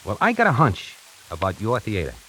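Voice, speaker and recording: A man's voice: on the low side, wildly swooping pitch, a little fast, fairly bright tone, slight background noise, rough recording. An actor playing a streetwise salesman, narrating in a colloquial, wry way in 1940s radio acting. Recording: vintage 1940s radio broadcast with music.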